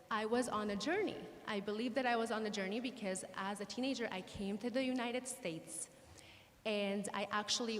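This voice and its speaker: A woman from Syria giving an earnest personal talk to an audience, telling her story in a measured way. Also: mid-range voice. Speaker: guest speaker. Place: synagogue hall. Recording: reverberant hall.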